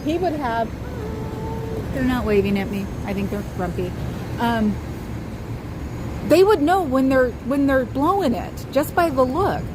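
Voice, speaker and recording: A woman with a high voice reacts to viewer comments in a loose, wry chat and laughs often. She talks from a car, and traffic noise runs clearly underneath her. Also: expressive, measured pace, long pauses. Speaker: livestream host.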